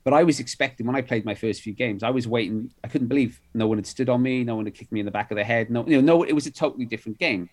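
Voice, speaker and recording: A man's voice: medium pitch, very fast, hardly any pauses. A middle-aged man from Wales, an author guest in a conversational interview, talking in long rambling runs with many restarts and fillers.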